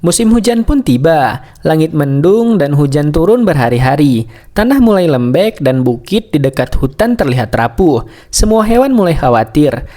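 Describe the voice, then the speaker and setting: A man's voice: fairly high pitch, very animated, moderate pace, dark tone. A man narrating a scripted bedtime story for children.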